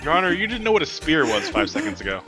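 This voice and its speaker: A man's voice: a little high, very animated, rapid-fire, over music. A man voicing a wry, insistent defense lawyer in a courtroom game, with dry quips and pointed questions. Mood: challenging. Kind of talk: playful amateur voice-over of a courtroom game.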